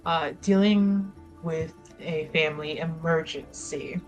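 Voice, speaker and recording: Female tabletop player: unhurried, halting, improvised in-character talk over light music. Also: low voice, steady tone, muffled recording. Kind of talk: improvised in-character roleplay with casual asides.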